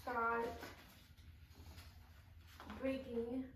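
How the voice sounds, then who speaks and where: A cruel, bossy orphanage matron, read by a woman at an amateur table read. The delivery is high and very slow, stumbling from word to word. The sound is distant and heavily echoed. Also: level tone, fairly bright tone, a little hiss or hum. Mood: halting. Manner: halting, stumbling word-by-word reading. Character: cruel, bossy older female orphanage owner. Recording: very echoey room, far from mic.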